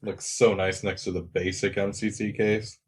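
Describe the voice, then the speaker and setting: A man's voice: on the low side, little pitch movement, normal speed, slightly rough. A man in casual conversation as a podcast co-host. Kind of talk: casual chat.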